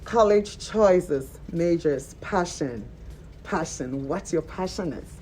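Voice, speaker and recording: Mellow low-voiced woman hosting a talk show. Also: slow pace, slight background noise. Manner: animated, repeats words for emphasis.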